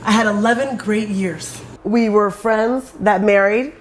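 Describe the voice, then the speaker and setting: Middle-aged woman, American accent, medium pitch, candid personal interview talk. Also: steady tone, unhurried, a little hiss or hum. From suburb outside New York City, United States. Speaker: interviewee, playwright and performer.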